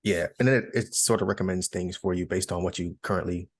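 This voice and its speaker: A man's voice: low-pitched, steady tone, fast talker, breathy edge. A man chatting casually as a podcast co-host in an informal, slangy way. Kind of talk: casual chat.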